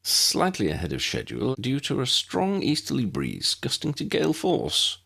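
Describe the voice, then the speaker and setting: Man voicing a genial, precise older gentleman, lifted pitch. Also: huge pitch swings, normal speed, vocal fry, husky, heavily acted. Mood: genial, informative. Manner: formal, wordy, precise.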